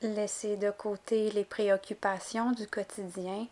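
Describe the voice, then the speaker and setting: A woman guiding a yoga nidra relaxation, giving calm, gentle, unhurried instructions. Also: medium pitch. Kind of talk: guided relaxation meditation narration.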